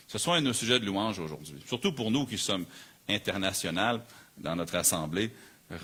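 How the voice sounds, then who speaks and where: A man with a low, forceful voice delivers a sermon in Quebec French. He speaks as a pastor, earnest and emphatic, and leans on repeated phrases to build each point. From Canada. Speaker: pastor preaching.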